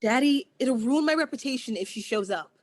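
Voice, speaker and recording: A woman's voice: high-pitched, animated, brisk. A woman reading a whiny, snobbish teen girl. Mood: pleading. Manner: whiny, pleading. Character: spoiled popular teenage girl. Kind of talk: table read of an amateur play.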